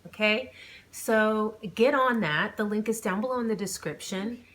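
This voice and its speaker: A woman with a mid-pitched voice, speaking as an author who hosts her own reading channel. She has an informal, playful delivery suited to chatting with followers and telling a story. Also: moderate pace, a bit bright. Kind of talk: chatty vlog intro, then audiobook narration.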